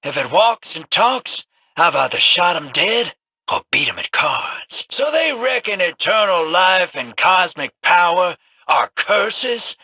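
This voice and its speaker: An actor voicing a wily, scruffy male gambler in a video game, drawling folksy wisecracks in a husky voice. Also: high-pitched, sing-song, slow pace, bright, forceful tone, no room sound, full character voice. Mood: sly.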